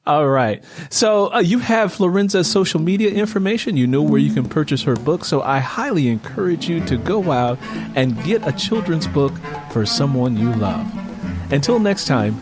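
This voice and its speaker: A man's voice: fairly high pitch, animated, normal speed, music in the background. A man hosting an interview podcast in a casual, conversational style. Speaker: podcast host, author and audiobook narrator.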